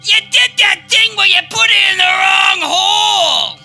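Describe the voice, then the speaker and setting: An actor playing the ghost of a brash, crude old diner aunt in a comedic radio play, with a creaky, strident, shouting delivery. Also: very high-pitched, a little slow, faint music behind, heavily acted. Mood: gleefully crude. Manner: loud, brassy, shouting.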